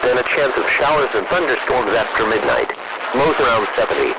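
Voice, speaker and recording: An American man reading a scripted weather bulletin over radio in a formal, impersonal, automated-sounding way. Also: mid-range voice. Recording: very noisy, static-filled weather radio reception.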